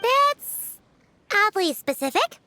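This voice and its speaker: Squeaky, very high childlike fairy. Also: female voice, slow pace, slight background noise, exaggerated voice. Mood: caring. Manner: perky, eager, expressive. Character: tiny childlike fairy companion, cheerful, caring, excitable. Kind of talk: video game character dialogue.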